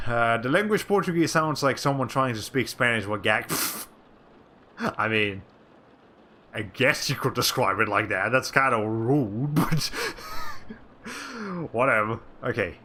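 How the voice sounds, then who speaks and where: Bright-voiced man chatting while playing. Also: mid-range voice, animated, slow pace, long pauses. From Latin America. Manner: rambling, self-correcting, with wry asides. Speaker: streamer. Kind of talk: casual chat while playing a game.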